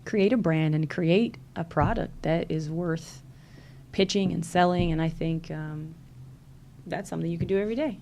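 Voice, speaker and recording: A woman's voice: lowish voice, normal speed, a little mellow, slight background noise. A woman from Louisiana with a Southern accent, a podcast guest talking earnestly and encouragingly, with many fillers. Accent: Southern American. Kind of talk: casual interview chat.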